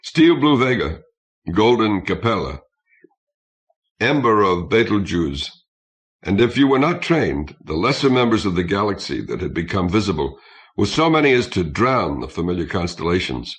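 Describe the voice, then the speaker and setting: A man reading science fiction as a scripted audiobook narration, in a measured, literary way. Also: low-pitched, big pitch swings.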